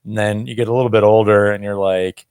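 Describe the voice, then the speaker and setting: A man's voice: lowish voice, fairly even intonation, quick pace, darkish tone, smooth, no echo. A man co-hosting a casual podcast, talking in a rambling, reflective way with many fillers. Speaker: podcast co-host, martial arts teacher.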